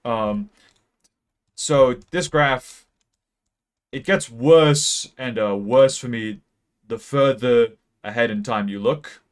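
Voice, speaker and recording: A young man in his late teens gives a deadpan mock lecture about a graph. He rambles with many ums and speaks close to a mic that sometimes peaks. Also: mid-range voice, takes it slowly, long pauses. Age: young adult. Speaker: video creator. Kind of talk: mock-serious graph explainer.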